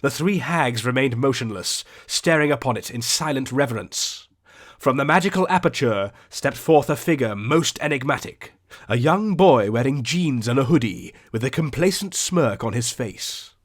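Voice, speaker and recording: A man narrating a fantasy audiobook in a theatrical, deliberate, scripted storytelling style. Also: medium pitch, animated. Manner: theatrical, ornate, deliberate delivery.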